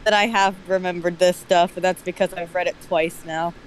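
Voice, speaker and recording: A woman's voice: fairly low pitch, steady tone, a little fast, faint music behind, rough recording. A woman in a casual stream discussion, conversational and opinionated, sometimes acting out imagined dialogue. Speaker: stream participant. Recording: faint background noise.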